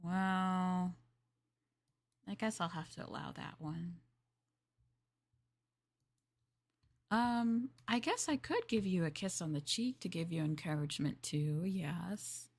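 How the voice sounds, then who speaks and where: A woman's voice: lowish voice, unhurried, creaky. Woman playing a bashful, eager young maid. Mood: polite, bashful. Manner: soft, polite, hesitant, apologetic, eager to please. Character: eager, bashful, polite young female maid serving her master. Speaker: VTuber streamer playing a maid for live chat. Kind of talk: live roleplay ASMR, improvised chat.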